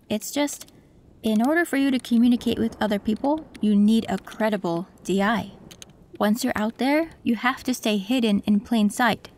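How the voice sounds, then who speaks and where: A woman voicing a female cyberpunk tech who works on an android, calm and matter-of-fact, in an audio roleplay. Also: on the high side, a little hiss or hum, lightly acted.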